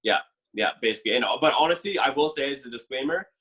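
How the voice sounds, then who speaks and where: A young man from Canada chats on a podcast with a bright, clear-edged voice at an ordinary middle pitch. He rambles easily and leans on fillers and "like" as he tells his stories. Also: animated, fast talker. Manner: chatty, lots of fillers and "like". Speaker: dating show contestant. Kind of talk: casual podcast chat.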